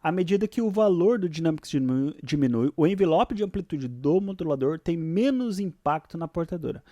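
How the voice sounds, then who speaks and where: A man with a Brazilian accent presenting a software tutorial, switching between read-out explanations and casual asides. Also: fairly high pitch, animated, fairly quick, no echo, muffled recording.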